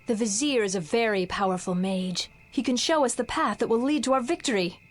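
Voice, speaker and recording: A woman acting as a resolute rebel leader in a video game cutscene. Her voice is fairly high, assured and declamatory, with faint music underneath. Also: brisk, lightly acted.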